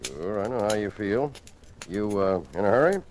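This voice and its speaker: Wry police lieutenant played by a man: laconic, knowing, widely varied pitch, vintage radio acting, faint noise. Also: expressive, a little mellow, lightly acted. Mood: wry, probing. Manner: laconic, casual, with drawn-out pauses. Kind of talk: 1940s-50s radio thriller acting. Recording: noisy vintage radio drama recording with clicks.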